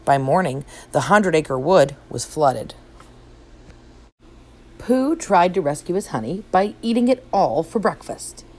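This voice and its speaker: A woman narrating a children's story as an expressive read-aloud. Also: on the low side, unhurried. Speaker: storybook reader.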